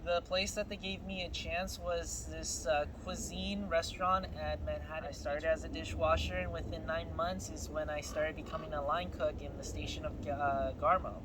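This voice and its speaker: A man with a high voice tells his story in a loose, easy way and laughs a lot. He grew up in California, and his first language is Spanish. Street traffic and outdoor noise come through clearly behind him. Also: normal speed, few pauses. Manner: relaxed storytelling, laughs often. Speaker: guest telling his story. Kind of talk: casual chat.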